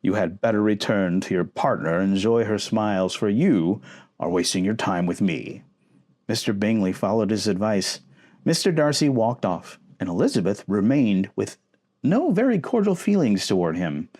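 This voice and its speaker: A man reading a novel aloud in an expressive ASMR boyfriend roleplay style. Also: fairly low pitch, moderate pace.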